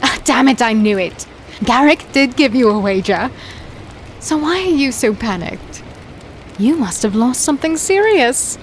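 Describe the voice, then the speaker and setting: A woman playing a stubborn, caring archer wife in a dark fantasy audio drama, blunt and expressive. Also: on the high side, measured pace, slight background noise. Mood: exasperated.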